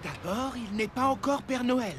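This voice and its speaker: A woman's voice: a little high, moderate pace, lightly acted. A woman voicing a sensible, grumbling sleigh reindeer in a children's cartoon dub, with a dry, steadying manner. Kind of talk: animated children's series dub.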